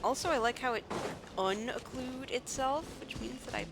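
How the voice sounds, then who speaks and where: A woman's voice: high-pitched, moderate pace. A woman livestreaming a video game with casual, wry, rambling commentary, mostly talking to herself. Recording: loud game music behind. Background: loud game music.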